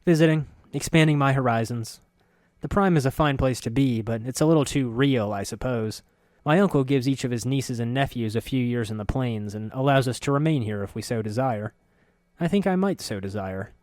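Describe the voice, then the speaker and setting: A man reading a story voices a curious, sharp-spoken middle-aged woman who talks in a quick, insistent way. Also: mid-range voice, no room sound, studio quality, lightly acted. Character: curious sharp-spoken woman, neither young nor old, newcomer.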